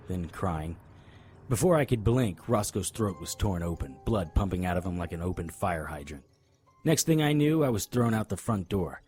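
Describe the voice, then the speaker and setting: A man's voice: low voice, darkish tone. An actor playing a tough, determined private investigator in an audio drama, terse and serious as he narrates and speaks. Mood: alarmed, grim. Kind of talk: first-person audio drama narration and dialogue.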